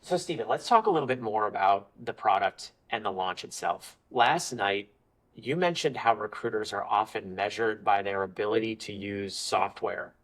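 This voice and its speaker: A man's voice: fairly low pitch, moderate pace, fairly bright tone, low-quality recording. A man hosting a podcast interview, complimenting his guest and steering the conversation back to the topic.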